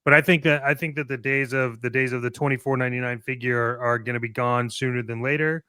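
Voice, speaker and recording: A man's voice: mid-range voice, fairly even intonation, fast, sharp tone, clear, resonant tone, no room sound, clean studio sound. A man co-hosting a talk show in a casual, opinionated way, bluntly and emphatically, often repeating phrases for stress. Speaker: co-host of a toy collecting show.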